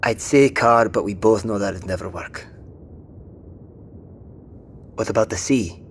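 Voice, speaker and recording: A man playing a practical survivor who coaxes a wounded companion, steady and level-headed. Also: on the low side, a little slow, slight background noise. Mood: wary. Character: dry, practical British male survivor companion. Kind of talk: audio drama acting.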